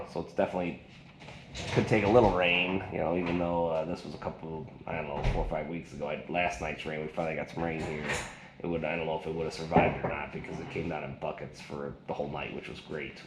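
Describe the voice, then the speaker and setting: A man speaks in a very low register, reviewing a gadget in a loose, rambling, colloquial way. He is indoors, and the recording is roomy and echoing, with clear noise behind him. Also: flat delivery, fast talker. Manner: rambling, colloquial, folksy slang. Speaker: product reviewer. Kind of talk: casual hands-on gadget review. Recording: echoey room with loud noise.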